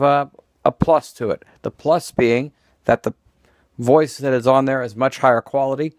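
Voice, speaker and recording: A man talks through an explanation in an informal, halting way. His voice sits at an average pitch, he repeats phrases for emphasis, and the sound is close and dry. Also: no echo. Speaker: video creator. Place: small room at home.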